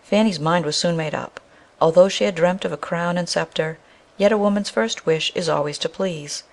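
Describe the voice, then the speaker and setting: A woman storyteller reads a scripted fairy tale. Her voice sits fairly low for a woman and has a noticeable creak. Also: moderate pace. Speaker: storyteller, narrator.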